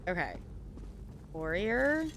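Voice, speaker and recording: A woman's voice: fairly low pitch, big pitch swings, takes it slowly, a little hiss or hum. A woman livestreaming a game with casual, playful commentary, chatting and thinking aloud as she plays. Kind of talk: casual live gaming commentary.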